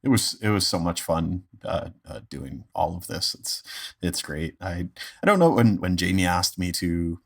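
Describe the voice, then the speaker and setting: Low-voiced man, halting and thoughtful. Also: animated. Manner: halting, thoughtful, repeats and restarts phrases. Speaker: podcast guest, amateur voice actor. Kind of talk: casual conversation about a performance.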